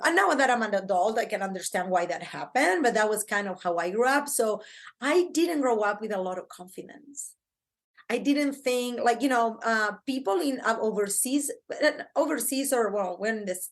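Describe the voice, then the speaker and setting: A middle-aged woman from Argentina with an Argentine Spanish accent, chatting as a podcast guest in an excitable, talkative way with many fillers. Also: fairly high pitch, moderate pace. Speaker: podcast guest, branding coach. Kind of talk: casual interview chat.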